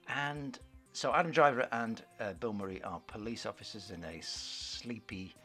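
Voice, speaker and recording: A man co-hosting a podcast, chatting casually about film trailers in a husky voice, rambling and searching for words. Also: fairly low pitch, normal speed, sharp tone, faint music behind. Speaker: podcast co-host.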